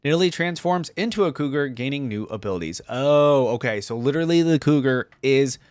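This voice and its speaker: A man hosts a casual reaction video in a close, dry-sounding recording. He moves between reading text aloud and conversational commentary, thinking out loud as he goes. His voice sits at an ordinary male pitch. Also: animated, a little fast, clear, resonant tone, no echo.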